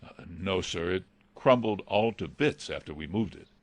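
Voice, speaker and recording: A man's voice: low voice, expressive, normal speed, dry recording. A man playing an anxious, loyal butler, hesitant, formal and respectful, in a dramatised reading of a classic novel. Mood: hesitant, confiding. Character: deferential, anxious male butler, pleading and loyal.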